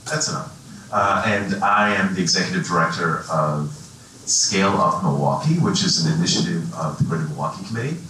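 A man's voice: deep voice, animated, brisk, sharp tone, a little hiss or hum. A man from the East Coast, a nonprofit director, introducing himself on a panel with emphatic, self-mocking remarks. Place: large conference hall with audience. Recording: echoey hall from far off.

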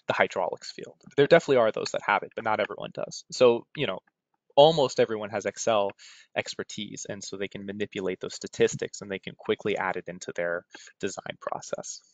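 A man's voice: lowish voice, brisk. A man with an American accent gives an informal technical webinar presentation in a conversational way, often checking in with "right?". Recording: roomy, dull lo-fi webinar audio.

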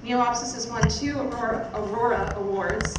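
A young woman introduces a guest from the stage, reading from prepared words. Her voice is fairly high, caught from far off in a very reverberant room with noticeable noise. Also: fairly even intonation, moderate pace. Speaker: event host introducing a speaker. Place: theatre stage, indoors. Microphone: stage mic on stand, picked up by distant camera. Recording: echoey, noisy hall from far off.

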